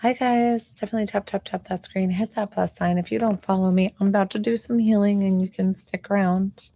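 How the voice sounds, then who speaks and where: Soft-spoken, slightly hoarse woman with a gravelly low voice. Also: steady tone, brisk, no room sound. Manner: talks softly, chatty with many "like"s. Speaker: intuitive healer. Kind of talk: casual live-stream chat.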